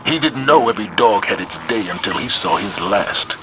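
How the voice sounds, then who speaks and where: An actor playing a stern, battle-hardened male commander in a video game, giving grave and deliberate orders. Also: lowish voice, lively intonation, heavy background noise, natural voice. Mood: grim resolve. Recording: game audio with loud music.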